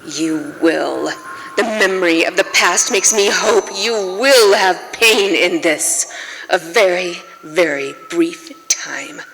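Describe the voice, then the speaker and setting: A woman plays a wronged old flame from a noir radio play, speaking very slowly and deliberately. She is wounded and reproachful, with a melodramatic edge. Her voice rings in a long hall reverb over faint noise. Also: fairly low pitch, gravelly, a bit bright, full character voice. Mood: grim resolve. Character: bitter, wounded female former lover of a detective. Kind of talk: noir radio drama acting.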